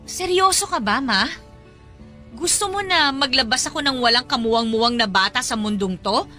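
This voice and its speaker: Woman acting hurt young mistress, high bright voice. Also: animated, normal speed, faint music behind. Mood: upset, protesting. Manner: emotional, pleading, confrontational. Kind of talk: Tagalog radio-style audio drama.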